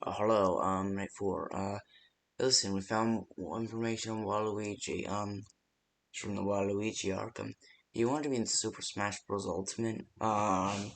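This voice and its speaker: A man's voice: lowish voice, fairly even intonation, moderate pace, creaky, slight background noise. A man giving casual, improvised phone-call voice lines for a game, hesitant and rambling with many ums. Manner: casual, unpolished delivery. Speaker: phone-call guide in a fan horror game.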